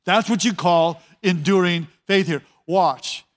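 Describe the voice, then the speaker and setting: A man's voice: high voice, takes it slowly. A man preaching a sermon as a pastor, talking conversationally, repeating his words and often telling listeners to watch.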